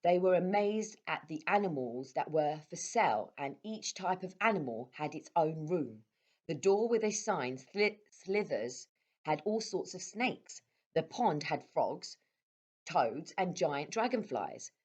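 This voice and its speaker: Animated woman reading a children's story. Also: low voice, measured pace. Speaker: storyteller at a primary school.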